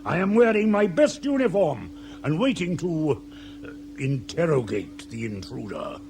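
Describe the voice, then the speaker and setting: An actor playing a vain, petulant spaceship captain in a comic radio drama, pompous and theatrical. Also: male voice, fairly high pitch, very animated, unhurried, creaky, a little mellow, a little hiss or hum, heavily acted. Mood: preening impatience. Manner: pompous, drawling, theatrical outbursts.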